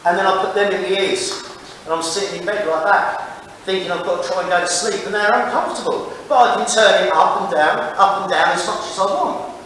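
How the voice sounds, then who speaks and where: A man rambles through an informal safety talk, restarting his sentences as he goes. His high voice comes from far off across a very echoey hall. A faint hum sits behind him. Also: fairly quick, fairly bright tone. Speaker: safety presenter. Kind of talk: informal presentation. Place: meeting room, talking to an audience.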